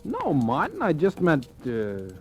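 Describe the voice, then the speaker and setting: An actor playing a nervous, meek clerk, hesitant and slangy, in a 1940s radio drama. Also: male voice, on the high side, wildly swooping pitch, measured pace, darkish tone. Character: nervous, meek male office clerk gambling desperately. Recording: old radio recording with music.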